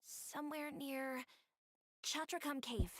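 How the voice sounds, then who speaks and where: A woman's voice: high voice, fairly even intonation, slow, a bit bright, no echo, studio quality. A woman playing an easygoing, helpful young bystander in a video game.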